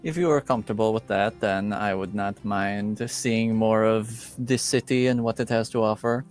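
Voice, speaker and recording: A man's voice: fairly low pitch, steady tone, moderate pace, soft background music, lightly acted. A man playing a polite, reserved foreign guest in improvised tabletop roleplay, stiffly formal and hesitant.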